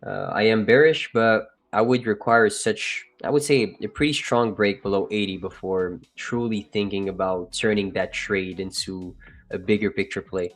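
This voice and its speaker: A man's voice: on the low side, steady tone, moderate pace. A man with a French accent hosting a live trading stream, chatting casually and joking with viewers. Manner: joking, laughs often, trails off and restarts. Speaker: livestream trading host.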